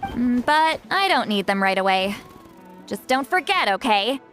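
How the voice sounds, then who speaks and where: A proud, grumpy female shaman in a video game. Her fairly high voice moves expressively with a haughty edge, over faint music. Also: sing-song, measured pace, fairly bright tone. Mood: weary, casual.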